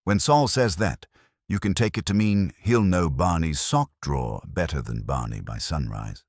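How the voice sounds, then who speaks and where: A man's voice: rumbling low, expressive, normal speed, no echo, studio quality. A man reading a scripted first-person detective story as an audiobook narrator.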